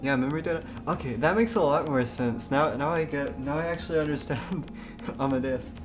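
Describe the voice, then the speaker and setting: A man with a fairly high voice gives casual live commentary as a game streamer. He is giggly and chatty as he goes, and music plays clearly behind him. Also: fairly quick. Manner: giggly, breaks into laughter while talking.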